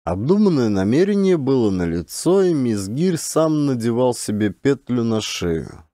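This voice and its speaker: A man's voice: mid-range voice, very animated, measured pace, dark tone, no room sound, clean studio sound. A man narrating a literary audiobook in a dramatic storytelling style.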